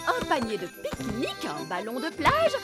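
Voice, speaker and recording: A woman's voice: on the high side, wildly swooping pitch, normal speed. A woman voicing a bossy, self-assured fairy nanny in a children's cartoon, with a haughty, self-satisfied manner. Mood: brisk, bossy. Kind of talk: animated children's cartoon acting. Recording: music behind the voice.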